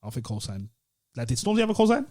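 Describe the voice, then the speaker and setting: Fast-talking London man on a podcast. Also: medium pitch, very animated, a little mellow. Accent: British, London. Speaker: podcast co-host. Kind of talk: casual, slangy debate.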